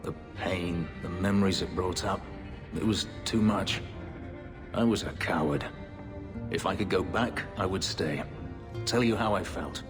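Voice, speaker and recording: An actor playing a brooding, bitter elf warrior who was once a slave, speaking in a grave, measured way. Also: male voice. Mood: tender. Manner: low, grave, measured delivery. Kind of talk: video game voice acting. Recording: long reverb under game music.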